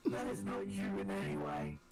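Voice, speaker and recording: Guy chiming in on a stream, gravelly, kinda teasing, quiet and far off w music playing. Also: fairly low pitch, wildly swooping pitch, normal speed. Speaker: co-commentator. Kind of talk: casual chat.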